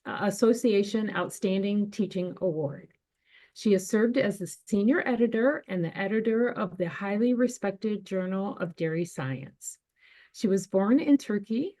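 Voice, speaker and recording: A woman's voice: mid-range voice, darkish tone. Woman host reading an introduction. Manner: reads a prepared introduction. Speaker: alumni office host. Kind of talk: scripted introduction. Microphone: video call.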